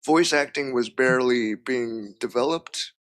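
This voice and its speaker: A man guesting on a casual chat, a freelance voice actor with an everyday mid-pitched voice. He talks haltingly, restarting phrases and leaning on "you know".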